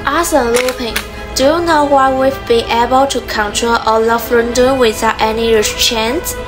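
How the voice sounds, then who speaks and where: A woman's voice: a little high, fairly even intonation, moderate pace, music in the background, natural voice. A woman playing a cold, menacing government villain who taunts her victim in an amateur radio drama. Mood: menacing, amused.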